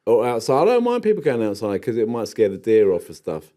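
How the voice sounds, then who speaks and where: A man's voice: lowish voice, wildly swooping pitch, a little fast, dark tone. A man with an English accent co-hosting a podcast in casual chat, rambling and wandering off into digressions. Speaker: podcast co-host.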